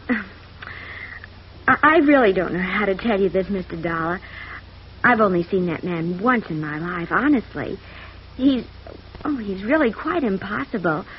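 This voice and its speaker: A woman's voice: medium pitch, animated, a little slow, long pauses, close to an everyday voice. An actress playing a polite, wary young showgirl in an old radio drama, genteel and hesitant. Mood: embarrassed. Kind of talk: 1950s radio drama acting. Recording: muffled old radio recording, faint noise.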